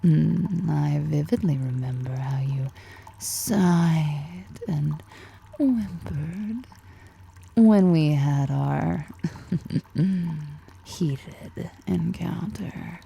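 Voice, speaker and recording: Woman as a creaky, slow, seductive spider queen. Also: dark tone, a little hiss or hum, full character voice. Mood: seductive, teasing. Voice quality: breathy, half-whispered, creaky low voice. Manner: slow purring menace, flirtatious, teasing. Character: powerful female drider ruler, predatory, seductive, teasing. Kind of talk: ASMR roleplay acting.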